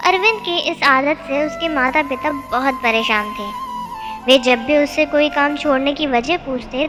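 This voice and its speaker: गूँज भरी रिकॉर्डिंग में पीछे संगीत के साथ एक महिला लिखी हुई धार्मिक नीति-कथा सुना रही है।. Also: female voice, high voice. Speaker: storyteller. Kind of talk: scripted narration of a devotional moral tale. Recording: long hall reverb, music bed. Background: music.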